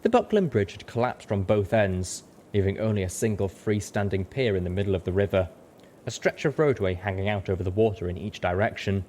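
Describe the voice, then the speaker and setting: A man's voice: animated, brisk, darkish tone. A man reading a story aloud as a dramatic audiobook narrator. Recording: rumbling background noise.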